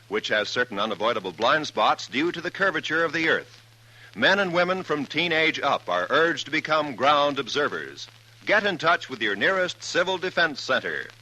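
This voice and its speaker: An American man announcing on 1950s radio, reading a script in a formal, crisp delivery. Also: mid-range voice, sharp tone, low-quality recording. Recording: old radio recording, faint noise.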